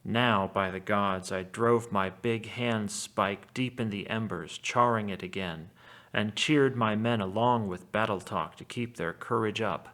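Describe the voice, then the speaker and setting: A man's voice: lowish voice, unhurried, fairly bright tone. A man giving a read-aloud lesson as a teacher, explaining in an informal, wry way.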